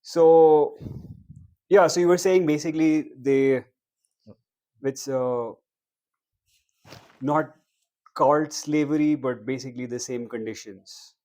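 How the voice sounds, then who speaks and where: A man hosting a casual interview, asking questions in a slow, relaxed, chatty way. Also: a little high, poor audio. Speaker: host.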